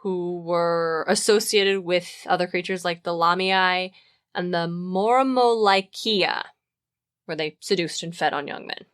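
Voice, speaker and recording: Low-pitched woman chatting informally, close dry sound. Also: unhurried, fairly bright tone, smooth, no room sound. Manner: informal, thinking aloud. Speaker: podcast co-host. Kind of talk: casual chat about mythology.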